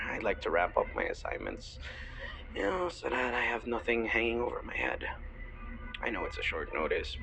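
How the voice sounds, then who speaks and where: A young male student played by a man speaks low and gravelly, as a fond, playful best friend in a boyfriend audio roleplay. His delivery is soft and intimate, with small hesitations. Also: full character voice, poor audio. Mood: casual, playful.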